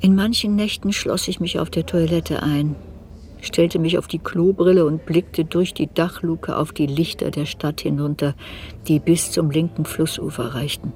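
An actress playing a reflective woman looking back on a troubled youth, narrating a radio drama in a measured, introspective way. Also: sits low, steady tone, darkish tone, close to an everyday voice. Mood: sober, reflective. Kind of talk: literary radio drama narration, first-person memoir. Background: music.

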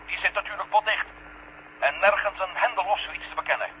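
An actor playing a wry, determined astronaut alone on the moon in a 1970 science fiction radio drama, reporting in short bursts. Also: male voice, high-pitched, fairly quick, lightly acted. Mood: tense, focused. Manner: narrates his own actions in short bursts. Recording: muffled, very noisy old radio recording.

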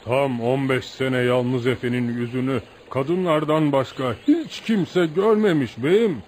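An actor in a radio play voicing a deferential village man who tells outlaw legends with admiration, like an animated folk storyteller. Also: mid-range voice, measured pace, natural voice. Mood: earnest, admiring. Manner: animated folk storyteller, addresses listener as sir. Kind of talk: radio theatre acting. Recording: noisy radio drama recording.

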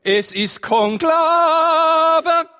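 A man giving half-sung theatrical narration in an audio drama, drawn-out, repetitive and exclamatory. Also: very high-pitched, expressive.

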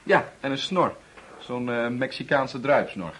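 An actor playing a polite, awkward twenty-year-old house guest in a Dutch radio play, hesitant and deferential. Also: male voice, mid-range voice, animated, measured pace, a bit bright, a little hiss or hum. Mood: tentative, friendly.

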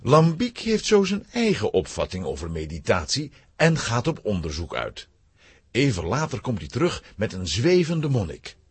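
A man narrating a children's adventure audio drama in an animated, suspenseful manner. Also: on the low side, normal speed, breathy edge, no echo, exaggerated voice. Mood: lively, dramatic.

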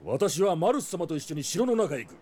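A stern, devoted old knight in a fantasy audio drama. His voice sits high and swings widely in pitch, with archaic, formal phrasing over faint ambient noise. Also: very animated, fairly quick, a little mellow. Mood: grave, resolute. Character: stern, devoted older male knight commander advising a young prince.

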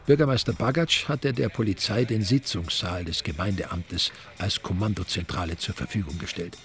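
A man narrating a crime radio drama from a script in a wry, measured storytelling manner. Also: sits low.

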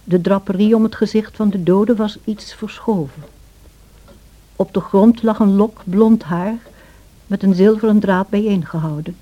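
A woman playing a sorrowful, gentle servant who narrates past events in a radio play in a measured way. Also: medium pitch, lightly acted. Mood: measured, sombre. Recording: dull old radio play recording.

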